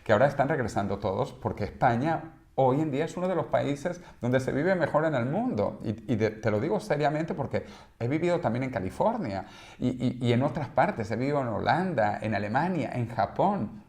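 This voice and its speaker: A man's voice: medium pitch, darkish tone. A man in his fifties from Venezuela, a guest in an interview, explaining in an emphatic, example-packed way. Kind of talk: conversational interview.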